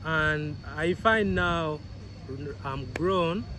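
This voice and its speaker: Ugandan guy in his 30s, laughs a lot, kinda high voice, traffic noise behind. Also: extremely slow. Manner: laughs often, animated, repeats himself. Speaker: reggae artist guest. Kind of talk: casual interview answers. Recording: outdoor street noise.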